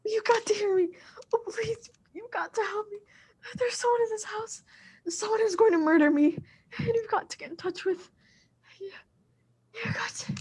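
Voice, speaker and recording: Very high-pitched woman, panicky invalid wife, imperious, fretful, old-time radio thriller. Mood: terrified. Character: anxious, demanding invalid wife terrified alone at home. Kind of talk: 1940s radio thriller acting.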